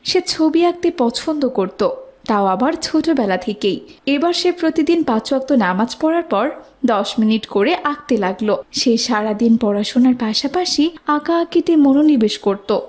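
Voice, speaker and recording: A woman's voice: high-pitched, expressive, normal speed, a little mellow. A woman working as narrator, reading a scripted moral tale.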